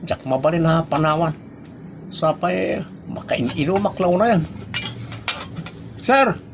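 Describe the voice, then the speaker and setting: A man's voice: fairly high pitch, animated, takes it slowly, creaky, noisy background, exaggerated voice. A man playing a stubborn, bossy character in an Ilocano comedy drama, with an Ilocano accent and emphatic delivery. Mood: insistent.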